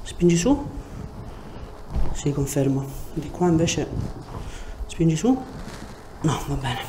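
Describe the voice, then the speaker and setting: A man in his thirties working as a chiropractor, giving short, calm instructions to a patient in a treatment room. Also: on the high side, slow pace, roomy sound, background noise. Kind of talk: hands-on treatment talk with a patient.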